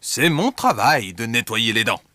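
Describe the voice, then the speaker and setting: A man's voice: medium pitch, big pitch swings, unhurried, sharp tone, dry recording, clean studio sound. A man voicing a jolly, kindly elephant dentist in a preschool cartoon, reassuring, with exaggerated intonation. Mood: businesslike.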